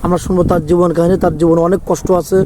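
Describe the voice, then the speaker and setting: A man's voice: high voice, little pitch movement, racing, heavy background noise. A man with a Bangladeshi accent hosting an informal interview as the interviewer. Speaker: host interviewer.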